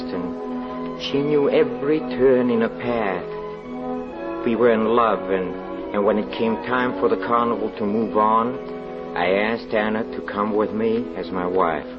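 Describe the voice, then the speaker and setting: Guy acting a tormented old-radio fortune teller, low voice, hesitant and repeating himself, muffled recording. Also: close to an everyday voice. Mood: tender reminiscence. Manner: halting, brooding, repeats words with dramatic hesitation. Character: haunted, anguished carnival fortune teller, tender husband. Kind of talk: old-time radio drama acting, confessional narration. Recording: dull vintage radio recording, music underneath.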